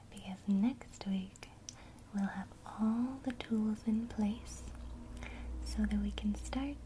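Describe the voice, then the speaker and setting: Slow whispering woman, soothing ASMR. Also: mid-range voice, slight background noise, poor audio. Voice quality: soft unvoiced whisper. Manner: slow, soothing, intimate, addresses the listener directly. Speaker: ASMR host teaching witchcraft. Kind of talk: whispered ASMR guided visualization.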